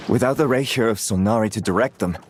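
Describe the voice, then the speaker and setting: A man's voice: on the low side, quick pace, slight background noise, lightly acted. An actor playing an earnest, loyal knight soldier in a video game, a man with an alert, urgent delivery. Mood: dutiful. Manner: urgent, calling out in battle. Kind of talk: video game battle acting.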